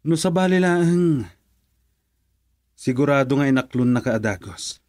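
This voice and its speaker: A man's voice: medium pitch, expressive, a little slow, darkish tone, no room sound, studio quality, natural voice. A man playing a frank young confidant to his lovestruck pal in a radio drama, talking casually. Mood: probing.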